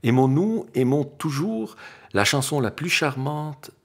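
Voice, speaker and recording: A man reciting literary poetry in an expressive, theatrical, declamatory manner with dramatic pauses and a husky, gravelly voice. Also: a little high. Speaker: poetry reader.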